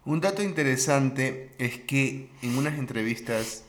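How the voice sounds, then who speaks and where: A man's voice: medium pitch, animated, measured pace, a bit bright. A man hosting a podcast, introducing the show and bringing in his guest. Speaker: podcast host.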